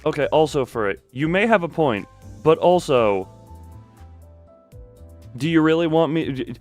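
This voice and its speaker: A man's voice: fairly high pitch, animated, a little slow, faint music behind. A man doing a casual, rambling game stream, playfully joking and talking back to his viewers.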